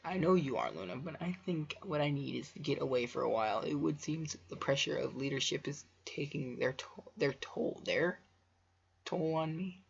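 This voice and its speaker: A man voicing a weary, reserved female pony ruler in a storybook reading, polite and halting. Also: a little high, lively intonation, creaky, slightly rough, exaggerated voice. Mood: weary, grateful. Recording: muffled lo-fi home recording.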